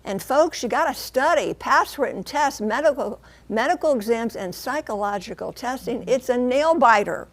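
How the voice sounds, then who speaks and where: A woman with a somewhat high-pitched American voice delivers a broadcast news report. She phrases things deliberately and puts emphasis on key words, as a reporter explaining to viewers. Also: expressive.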